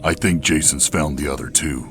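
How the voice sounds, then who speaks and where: A man playing a grim, brooding masked vigilante detective in a comic dub, gruff, terse and measured. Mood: grim, intent. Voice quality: very deep, gruff. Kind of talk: comic dub dramatic voice acting. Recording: voice under clear background music.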